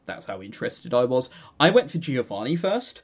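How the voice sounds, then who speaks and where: English male podcast co-host, medium pitch, casual and rambling, stumbles and repeats words. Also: sing-song, moderate pace. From England. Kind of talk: casual discussion and review.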